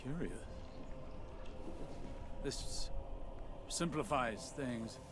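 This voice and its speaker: An actor playing a thoughtful, courteous scholar in a video game, speaking in a deliberate, formal and pensive way. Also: male voice, mid-range voice, noisy background. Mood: pensive, intrigued. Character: thoughtful, courteous male scholar working out mysteries. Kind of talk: dramatic video game voice acting.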